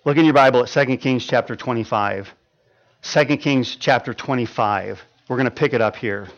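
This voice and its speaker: A man in his fifties preaching a sermon, swinging from loud emphatic stretches to quiet ones, with frequent stammered restarts. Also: mid-range voice, normal speed, dull audio. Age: 50s. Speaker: pastor.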